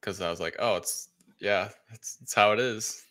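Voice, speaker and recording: A man's voice: lowish voice, moderate pace, a bit bright. A man co-hosting a podcast, talking casually as he reviews a film. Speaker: podcast co-host.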